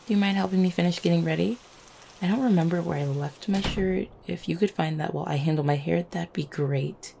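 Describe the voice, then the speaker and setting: A woman playing an affectionate, playful vampire girlfriend in an intimate ASMR roleplay, teasing gently with small hesitant stammers. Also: lowish voice, brisk, gravelly, a little hiss or hum. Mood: casual, affectionate. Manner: soft-hearted, teasing, hesitant little stammers and chuckles.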